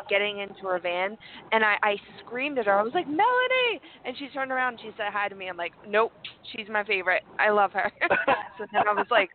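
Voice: mid-range voice, a little hiss or hum. A podcast host chatting casually and gushing with enthusiasm over a guest. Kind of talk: casual interview chat. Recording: tinny phone-like line.

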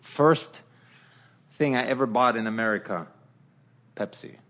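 A man's voice: medium pitch, measured pace. A man from southern Africa, raised in Israel, chatting as a pitmaster guest on a podcast, telling his stories with animation and frequent laughs. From born in southern Africa, raised in Israel. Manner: animated storyteller, laughs often. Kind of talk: casual podcast chat.

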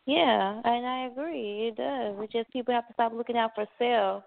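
A woman's voice: a little high, moderate pace, vocal fry, no room sound. A woman from the American South, a rapper and co-host, talking in an outgoing and agreeable way. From born in Atlanta, raised in Dallas. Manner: outgoing, agreeable, apologetic with little laughs. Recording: tinny phone-line sound.

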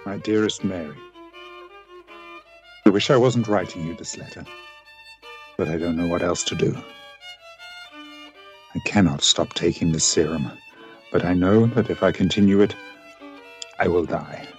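Actor as tormented gentleman doctor, very low voice, slow solemn delivery, remorseful, radio drama. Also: huge pitch swings, faint music behind, heavily acted. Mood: anguished, remorseful. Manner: solemn, halting. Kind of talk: radio play dramatic monologue.

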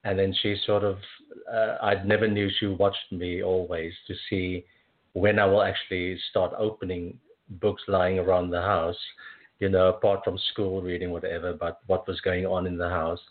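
Very deep-voiced man on a phone line. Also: little pitch movement, moderate pace. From South Africa. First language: Afrikaans. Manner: hesitant, many fillers and restarts, laughs often. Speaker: poet guest. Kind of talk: conversational interview and poetry reading.

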